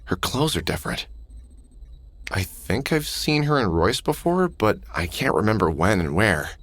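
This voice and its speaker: A man's voice: fairly low pitch, very animated, normal speed, fairly bright tone, no room sound. An actor playing a weary, cynical middle-aged man in a sci-fi visual novel, dry and sardonic, muttering observations to himself. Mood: puzzled, musing. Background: music.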